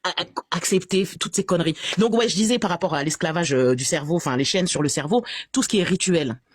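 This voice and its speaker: A woman from France giving an animated, exasperated and sarcastic personal testimony as a guest on a live stream. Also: lowish voice, quick pace, slightly rough.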